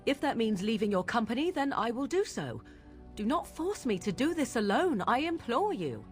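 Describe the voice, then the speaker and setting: A woman's voice: fairly high pitch, sing-song, normal speed, faint music behind. An actress playing a proud, imperious young witch in dramatic video game dialogue, with formal, precise diction. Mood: firm, resolved, then urgent, indignant. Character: proud, imperious young witch fearing her murderous mother.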